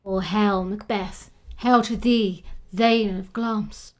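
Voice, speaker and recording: Woman as a slow, sly witch. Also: mid-range voice, steady tone, darkish tone, lightly acted. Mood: ominous hailing. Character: sly, sinister female witch. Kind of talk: classical radio drama acting.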